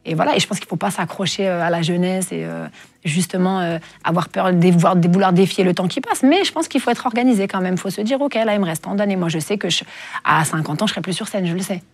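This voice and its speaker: Husky, low-voiced woman in her forties. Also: quick pace, no room sound. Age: 40s. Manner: reflective, soft-spoken with frequent hesitations. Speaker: guest singer. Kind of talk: candid interview talk.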